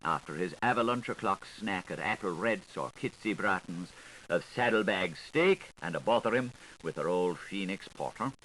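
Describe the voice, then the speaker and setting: A man reading a literary novel aloud as an audiobook, with a lilting, highly varied, expressive delivery. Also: moderate pace, rough recording. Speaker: audiobook reader. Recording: faint tape hiss, 1980s recording.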